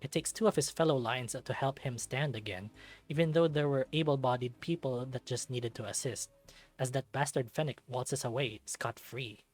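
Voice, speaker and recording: A medium-pitched voice reads a visual novel aloud as a dramatic narrator. Music plays behind the reading. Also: normal speed, darkish tone. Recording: background music under the voice. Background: game music.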